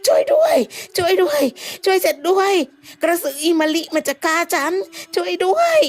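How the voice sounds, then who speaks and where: A woman voicing a coarse, hot-tempered middle-aged male village headman who talks in a gruff, panicky way. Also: high voice, animated, moderate pace, voice pushed up. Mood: panicked shouting.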